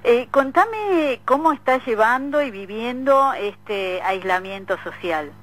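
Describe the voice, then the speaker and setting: A woman's voice: fairly high pitch, animated, slow pace, a bit bright. A woman with a Rioplatense Argentine accent, speaking as a radio host in a casual interview with a guest.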